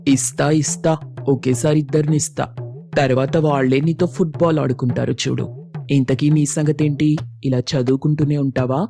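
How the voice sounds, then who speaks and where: A man's voice: fairly high pitch, steady tone, moderate pace, gravelly, mellow, soft background music. A man playing a teasing husband and brother-in-law who banters dryly in a family story. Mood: bantering.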